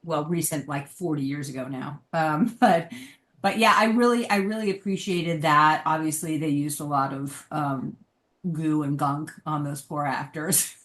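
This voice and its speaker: A woman talking casually in a panel discussion, giving measured, thoughtful opinions in a roomy, echoing sound. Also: low voice, fairly bright tone.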